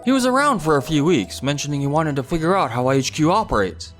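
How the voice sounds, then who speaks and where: A man's voice: fairly high pitch, big pitch swings, quick pace, fairly bright tone, faint music behind. A man voicing a friendly, gossipy young office colleague in an English manga dub, casual in manner. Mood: chatty, confiding.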